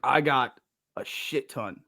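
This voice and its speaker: A man's voice: mid-range voice, slow, vocal fry, sharp tone. A young man on a podcast as a guest, talking casually and animatedly, swearing freely. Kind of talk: casual chat.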